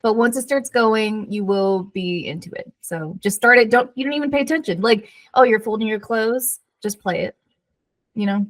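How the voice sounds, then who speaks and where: A woman's voice: a little high, moderate pace, no echo. A woman chatting informally as a podcast co-host, using "like" often in a conversational way. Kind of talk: casual chat about books and TV.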